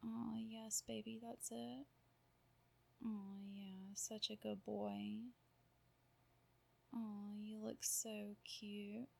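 Breathy, creaky, softly whispering woman. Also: medium pitch, level tone. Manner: soft cooing, half-whispered, drawn-out murmurs. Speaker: roleplay performer. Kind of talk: intimate whispered audio roleplay.

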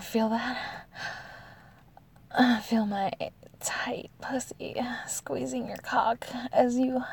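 A woman playing an amorous, eager adult lover in an intimate audio roleplay, speaking to the listener in a breathy, whispery voice. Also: mid-range voice, full character voice. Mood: impassioned. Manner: slow, intimate, addressing the listener directly.

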